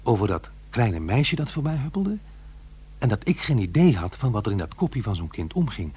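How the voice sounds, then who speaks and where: Middle aged divorced dad in a radio play, aggrieved and muttering, kinda husky, very up and down. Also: male voice, fairly low pitch, very animated, normal speed, darkish tone, full character voice. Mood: pondering. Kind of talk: naturalistic radio play acting.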